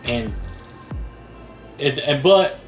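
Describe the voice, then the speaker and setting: A man's voice: mid-range voice, expressive, extremely slow, faint music behind. A young man co-hosting a casual video review, earnest and fair-minded, rambling with restarts. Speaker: co-host. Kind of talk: casual video analysis chat. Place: small indoor room at a table.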